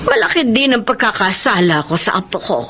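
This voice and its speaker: Blunt, animated elderly grandmother. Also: medium pitch, fairly quick, a little hiss or hum. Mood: remorseful. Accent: Filipino. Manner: blunt, expressive, exclamatory. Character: blunt, chatty elderly grandmother, meddling but remorseful. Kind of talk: Filipino radio drama acting.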